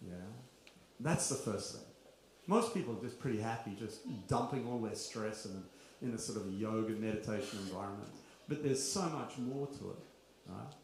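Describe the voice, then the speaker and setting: A man's voice: medium pitch, darkish tone. A middle-aged man gives an informal, confessional spiritual talk at a kirtan gathering, full of rhetorical questions and wry asides. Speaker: kirtan speaker. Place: large echoey room at a kirtan gathering. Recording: very roomy, faint background noise.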